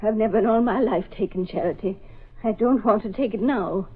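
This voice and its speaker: A woman's voice: mid-range voice, a little fast, breathy edge, close to an everyday voice. An actress playing a proud, weary old widowed mother in a 1930s radio drama. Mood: weary, resigned. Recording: muffled band-limited old radio recording.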